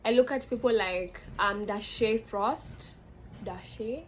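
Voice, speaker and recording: Young Kenyan woman, casual vlog monologue, meandering with fillers, medium pitch, light hiss behind. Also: slow pace, rough recording. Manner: rambling, frequent fillers and self-corrections. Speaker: vlogger.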